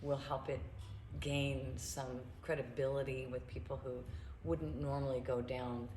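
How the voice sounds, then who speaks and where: A woman interviewer, calmly explaining and affirming in a casual conversation. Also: rumbling low, unhurried. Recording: echoey room with audible background noise.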